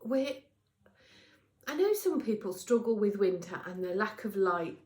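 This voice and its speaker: A woman's voice: medium pitch, expressive, unhurried, a little mellow. A middle-aged British woman with a congested, slightly nasal voice, chatting casually and ramblingly to camera as host of a knitting vlog. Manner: chatty, rambling, emphatic repetition, tag questions. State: congested from a cold. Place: indoors at a craft workplace. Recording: roomy, echoing indoor sound.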